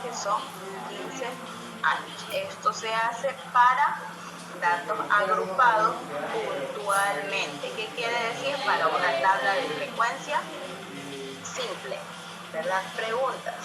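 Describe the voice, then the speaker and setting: A woman's voice: mid-range voice, slow pace. A woman teaching statistics, explaining step by step and checking often that her students follow. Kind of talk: classroom lesson. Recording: echoey room with loud music behind.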